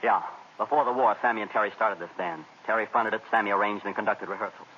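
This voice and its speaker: An actor playing an excitable young jazz band drummer who talks in eager, chatty jive slang, in 1940s radio acting. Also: male voice, lowish voice, quick pace. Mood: gossipy. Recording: old radio broadcast, dull with faint hiss.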